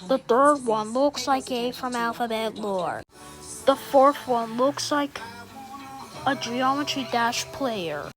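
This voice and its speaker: A woman's voice: on the high side, animated, slow, rough recording. A woman playing a grave president who reads emergency national alert updates in formal announcer phrasing. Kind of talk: scripted emergency alert narration. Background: loud music.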